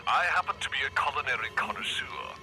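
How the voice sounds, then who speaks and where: An actor playing a smug, callous, ultra-rich tycoon who speaks in a pompous, condescending, theatrical way, as if interviewed on satirical radio. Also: male voice, mid-range voice, expressive, fast, pushed and bright. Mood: haughty, boastful. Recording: music behind the voice.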